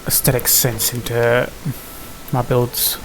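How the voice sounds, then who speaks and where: A man's voice: mid-range voice, monotone, takes it slowly, poor audio. A man giving casual gameplay commentary, muttering to himself in an understated way. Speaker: gaming video host. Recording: game music behind the voice. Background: video game music.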